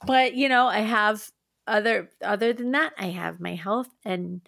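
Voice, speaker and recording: A woman co-hosting a podcast with a raspy, hoarse voice, chatting casually and swearing freely. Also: medium pitch, unhurried, a bit bright. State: hoarse from acid reflux. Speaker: podcast co-host. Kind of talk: casual chat.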